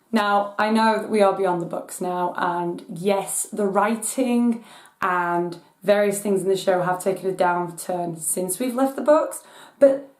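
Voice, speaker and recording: A woman hosting her own channel, hoarse from losing her voice, ranting in exasperation as her voice cracks high now and then. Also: darkish tone, echoey room. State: hoarse from voice loss. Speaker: channel host. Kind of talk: casual rant vlog.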